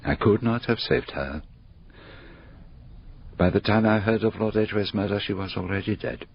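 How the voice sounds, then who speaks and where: An actor playing a courteous, probing foreign detective in a radio drama, with formal foreign phrasing and deliberate questions. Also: male voice, lowish voice, vocal fry, a little hiss or hum. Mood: solemn resolve. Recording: dull, muffled radio drama recording.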